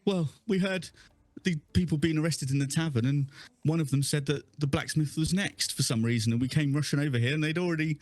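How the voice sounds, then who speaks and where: A man's voice: mid-range voice, moderate pace, a little mellow, no room sound, natural voice. A man voicing a nervous male carpenter who stammers and rambles evasively. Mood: flustered.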